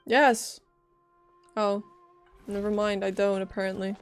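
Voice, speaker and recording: Chatty woman streamer, medium pitch. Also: level tone, measured pace, soft background music. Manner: informal, chatty reactions, swears. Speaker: live streamer playing a game. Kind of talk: casual game stream commentary.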